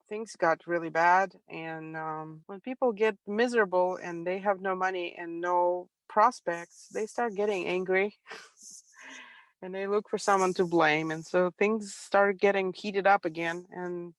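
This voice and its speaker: A middle-aged woman from Ukraine with a Russian accent, a Russian native speaker telling her story calmly as an interview guest. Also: on the low side, dry recording. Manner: calm, reflective, soft laughs.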